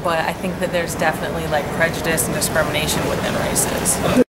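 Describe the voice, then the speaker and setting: A woman in her twenties from Michigan, a student panelist, speaking in a measured, slightly hesitant way. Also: low-pitched, flat delivery. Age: 20s. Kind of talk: casual discussion. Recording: distant mic, loud noise.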